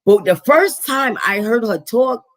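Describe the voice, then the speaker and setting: A woman with a raspy, deep voice hosting a casual live stream, animated and slangy, acting out quotes. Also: a little slow. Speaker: host.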